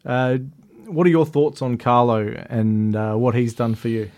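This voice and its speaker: A man co-hosting a podcast, asking questions in a measured, mock-serious way. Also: mid-range voice, a little mellow. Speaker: podcast co-host. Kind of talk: casual podcast interviewing.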